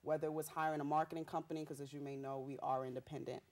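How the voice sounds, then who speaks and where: A woman's voice: low-pitched, racing. Bubbly young woman from Haiti. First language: Haitian Creole. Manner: bubbly, animated storytelling with street slang. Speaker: rapper guest. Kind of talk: casual interview.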